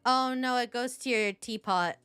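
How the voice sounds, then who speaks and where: A woman's voice: on the high side, normal speed, a bit bright, smooth, no room sound, soft background music. A woman giving casual, chatty live commentary as she plays a video game, thinking aloud to her viewers.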